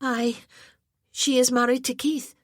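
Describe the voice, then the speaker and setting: A woman's voice: high voice, little pitch movement, unhurried, no room sound, studio quality, voice pushed up. A woman voicing a clumsy, tearful young noblewoman who talks in a breathless, apologetic rush. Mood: nervous, eager.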